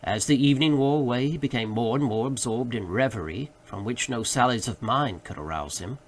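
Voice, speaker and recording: A man reading literary fiction aloud as an audiobook narrator, with expressive, scripted storytelling delivery. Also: mid-range voice, a little fast. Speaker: audiobook reader. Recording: faint background noise.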